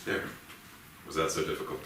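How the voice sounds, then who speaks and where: An actor playing a stern, bullying police officer in a staged play reading, curt, commanding and needling. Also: male voice, fairly quick, natural voice. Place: theatre stage, indoors. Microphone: distant room microphone. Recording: very echoey theatre, distant mic, faint noise.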